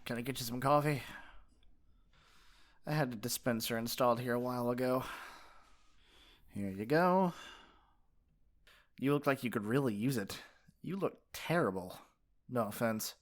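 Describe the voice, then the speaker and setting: A man playing a pompous, easily exasperated supervillain in an ASMR roleplay, theatrical and sarcastic with a softer caring side. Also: mid-range voice, normal speed, long pauses, lightly acted. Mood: weary, sarcastic. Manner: pompous mock-grand monologuing that slips into flustered sarcasm. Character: theatrical gloating male supervillain, exasperated, secretly caring nemesis.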